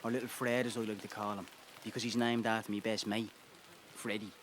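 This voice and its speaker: A man's voice: on the low side, level tone, a little fast, fairly bright tone, a little hiss or hum, close to an everyday voice. A man acting a desperate homeless Irish father in a radio play, pleading, exasperated and prone to swearing. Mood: quiet, insistent.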